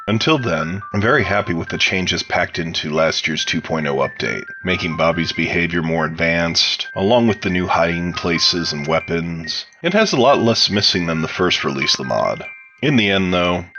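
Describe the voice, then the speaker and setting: Male interview guest, low voice, articulate and measured explainer, scripted-sounding delivery, clear music bed underneath. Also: highs cut off. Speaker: interview guest, game modder.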